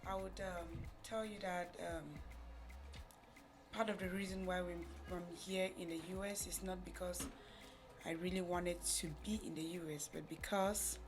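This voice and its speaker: A woman from Cameroon with a Cameroonian accent, giving a reflective personal account as a guest, halting and pausing mid-thought. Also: on the low side, fairly even intonation, faint music behind. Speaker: podcast guest, charity representative.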